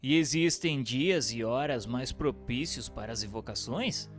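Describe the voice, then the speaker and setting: A man playing an earnest questioner, reading questions and notes formally and deliberately in a scripted radio drama. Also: medium pitch, lightly acted. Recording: long hall reverb, music bed.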